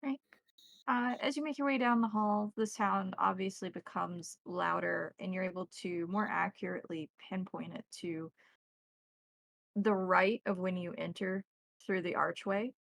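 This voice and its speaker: Female game master, deliberate descriptive narration, noticeable vocal fry, dry close recording. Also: on the low side, steady tone, fairly bright tone, no room sound.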